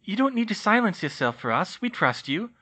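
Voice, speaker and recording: Man doing a protective mother, fierce, raised bright voice, storybook. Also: high voice, very animated, brisk, slightly rough, pitched above the performer's own voice, exaggerated voice.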